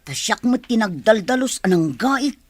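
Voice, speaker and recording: A woman's voice: a little high, big pitch swings, moderate pace, a little mellow, no echo, studio quality. An actress playing a grumbling, money-minded village woman in a radio drama. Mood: complaining. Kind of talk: Philippine radio drama acting.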